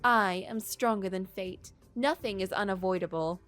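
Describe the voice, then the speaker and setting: A woman's voice: medium pitch, moderate pace, fairly bright tone, faint music behind, close to an everyday voice. A proud young princess from a storybook, played by a woman narrator. Her speech is formal and self-assured, with a stubborn streak. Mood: defiant, proud. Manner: formal, declarative phrasing.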